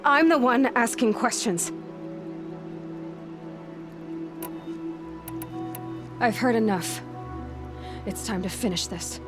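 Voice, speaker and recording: A woman's voice: a little high, animated, normal speed, over music, close to an everyday voice. Woman playing a stern, resolute young huntress. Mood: insistent, stern. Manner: terse, resolute, interrogating. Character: stern, determined young huntress seeking answers and justice. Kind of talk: video game drama acting.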